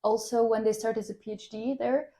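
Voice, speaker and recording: A young woman whose first language is Russian speaks as a guest on a conversational podcast. Her voice is mellow and relaxed. She explains things thoughtfully and at length. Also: on the high side, fairly quick. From Russian-speaking household, living in Vienna.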